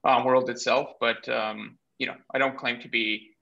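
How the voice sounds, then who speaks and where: A man in his thirties from Bulgaria, explaining his background in a measured way with many pauses, speaking as a guest over a video call from a small room. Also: medium pitch, flat delivery, vocal fry, sharp tone. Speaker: guest, startup cofounder. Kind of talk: remote interview. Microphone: webcam or laptop mic on video call. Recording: dull lo-fi video call audio.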